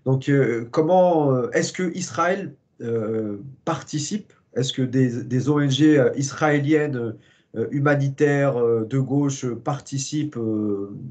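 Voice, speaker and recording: A man interviewing his guest in a mid-range, ordinary voice. He builds his questions slowly, hesitating often and filling the gaps. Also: mid-range voice, a little mellow. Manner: hesitant, searching questions with many fillers. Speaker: interviewer host. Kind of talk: conversational interview.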